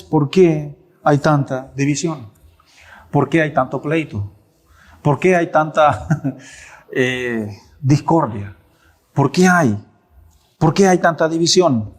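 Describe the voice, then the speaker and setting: Man preaching with rhetorical questions. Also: a little high. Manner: rhetorical questions with emphatic answers. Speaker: pastor. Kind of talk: sermon. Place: church.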